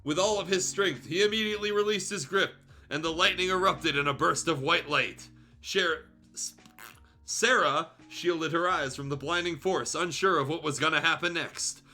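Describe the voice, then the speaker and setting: A man's voice: on the high side, normal speed, pushed and bright, faint music behind. A man reading fan fiction aloud as a streamer, in a theatrical, irreverent storytelling style with joking asides.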